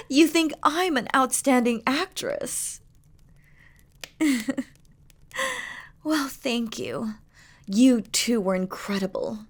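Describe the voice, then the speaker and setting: A woman playing a devoted, regal demon queen in a romantic audio roleplay, speaking softly and formally to her lover, often dropping to a whisper. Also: high voice, big pitch swings, very slow, long pauses, full character voice. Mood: amused, pleased. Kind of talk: scripted romantic audio roleplay, spoken intimately to the listener.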